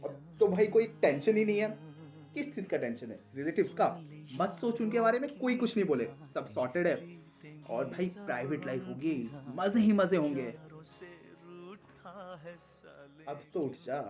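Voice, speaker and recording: Animated, chatty young buddy, acted by a woman. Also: fairly low pitch, normal speed, soft background music, natural voice. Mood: reassuring. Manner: slangy, reassuring, animated. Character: excitable, chatty young friend cheering someone up. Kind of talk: comic skit acting.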